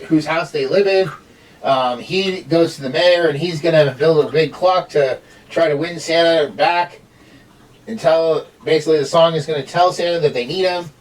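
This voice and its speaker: A middle-aged man giving a casual, rambling review in a halting way, as a host. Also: a little high. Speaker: amateur reviewer hosting with a child. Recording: distant mic, home recording.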